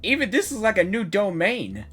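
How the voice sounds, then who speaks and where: A woman's voice: mid-range voice, wildly swooping pitch, moderate pace, faint music behind. A woman giving casual, informal gameplay reaction commentary, often repeating her phrases. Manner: repetitive, informal. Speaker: gaming reaction video creator.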